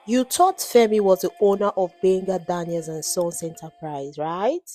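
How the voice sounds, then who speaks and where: A woman's voice: fairly low pitch, moderate pace, dark tone, soft background music, voice pushed down, close to an everyday voice. A woman storyteller voicing a wronged, secretly rich young man who speaks firmly and knowingly in a folktale. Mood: commanding, smug.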